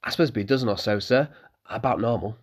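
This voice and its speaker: A man's voice: fairly low pitch, fast talker, husky. A man voicing an eager, polite eleven-year-old innkeeper's boy who keeps calling the guests sir.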